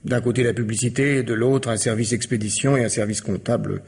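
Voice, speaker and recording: An actor playing an uneasy, weary, guarded publisher and husband in a French radio mystery drama. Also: male voice, mid-range voice, steady tone, brisk, a little mellow, husky. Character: married male mail-order publisher, uneasy, weary, evasive.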